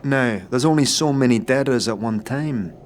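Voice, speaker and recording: A man's voice: mid-range voice, normal speed, a little hiss or hum, close to an everyday voice. Man playing a wily, slangy slum boss. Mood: calculating. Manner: thieves' cant, bargaining, sardonic. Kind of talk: fantasy audio drama character acting.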